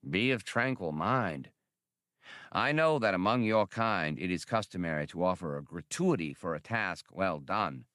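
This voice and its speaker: A man's voice: low voice, animated, normal speed, a bit bright, clear, resonant tone, dry recording, studio quality. A man voicing a thin, solemn alien scholar in an audiobook, speaking formally and earnestly. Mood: courteous. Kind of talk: audiobook character reading.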